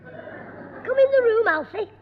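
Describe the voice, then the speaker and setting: A cheeky piping schoolboy. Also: male voice, very high voice, animated, slow, slight background noise, full character voice. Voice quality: piping boyish voice. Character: cheeky scheming small schoolboy. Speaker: star of the show. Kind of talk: 1960s radio sitcom acting. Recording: echoey old radio studio recording, dull.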